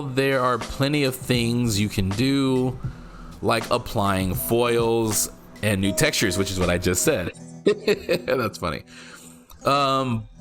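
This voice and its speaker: A man who is not a native English speaker, casually thinking aloud while he reads and reacts to a card game article, rereading lines and breaking into excited exclamations. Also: medium pitch. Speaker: card game content creator.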